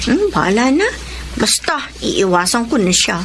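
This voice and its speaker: Radio drama part: earnest, firm young student, high-pitched and expressive, on a noisy track. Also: female voice, moderate pace. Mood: exasperated, confiding. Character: principled young woman student fending off a suitor. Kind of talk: Filipino radio drama acting.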